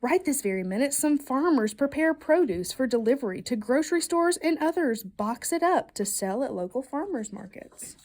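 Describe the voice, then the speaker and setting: A woman reading a picture book aloud to children in an expressive, emphatic storytelling manner. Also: high-pitched, moderate pace, few pauses. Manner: expressive, emphatic storytelling delivery with playful questions. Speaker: storybook reader.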